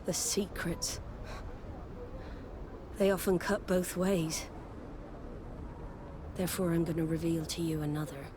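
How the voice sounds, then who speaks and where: Stern, low-voiced sea admiral. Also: female voice, fairly even intonation, background noise. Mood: solemn, authoritative. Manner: formal, grave, deliberate. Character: commanding female sea admiral, solemn and stern. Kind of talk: dramatic game dialogue.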